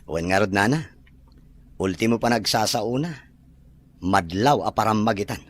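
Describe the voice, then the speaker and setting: A man's voice: on the low side, moderate pace, natural voice. A man playing a kind, caring rich farm owner in an Ilocano audio drama, with faint birdsong behind him. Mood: easygoing.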